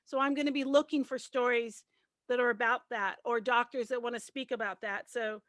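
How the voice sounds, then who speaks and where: Female panelist, notably high-pitched, candid and explanatory in casual discussion, closely dry acoustic. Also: fairly even intonation, a little fast, fairly bright tone, dry recording. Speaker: panelist, radio host and producer.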